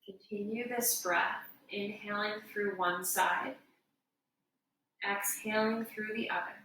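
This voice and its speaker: A woman giving guided meditation instruction as a yoga teacher, in a gentle, unhurried, soothing way. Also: mid-range voice, fairly even intonation. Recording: echoey room, distant mic, faint noise.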